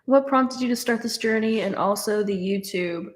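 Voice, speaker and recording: A woman's voice: medium pitch, moderate pace. A nineteen-year-old woman casually answering chat questions on a live stream, with lots of fillers and restarts. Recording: roomy echo.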